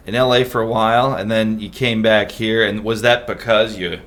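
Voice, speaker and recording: Young midwestern guy hosting a podcast, lowish voice, laughs a lot, super chatty. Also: fairly even intonation, moderate pace, fairly bright tone. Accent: Midwestern American. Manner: laughs often, chatty and playful. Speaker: podcast host. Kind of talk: casual chat.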